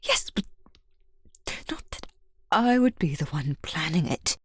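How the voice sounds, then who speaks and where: Woman acting a husky, slow-spoken scheming lady. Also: deep voice, expressive, no echo, studio quality, full character voice. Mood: bitter, hushed. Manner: genteel, hesitant, deliberate. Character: scheming mistress, outwardly gracious lady, secretly jealous. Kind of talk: scripted ASMR audio roleplay.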